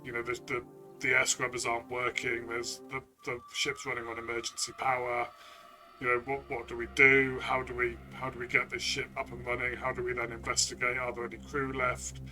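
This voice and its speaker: Even-toned man co-hosting over music. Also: mid-range voice, fairly quick. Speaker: co-host and game reviewer. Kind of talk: review discussion, casual chat.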